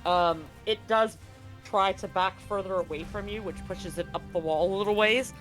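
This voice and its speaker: A man acting as game master, narrating and explaining a tabletop scene in a casual, halting way that often rephrases. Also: sits high, a bit bright, soft background music, rough recording.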